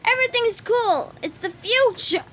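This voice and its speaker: A woman voicing an excitable, theatrical talking object in an amateur comic dub, recorded at home. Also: extremely high pitch, moderate pace, voice pushed up. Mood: excited. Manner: exclamatory, theatrical.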